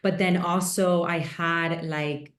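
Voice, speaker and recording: Woman from miami, low voice, chatty podcast co-host, roomy echo. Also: steady tone, slow. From Miami, USA. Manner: chatty, storytelling with fillers. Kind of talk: casual chat.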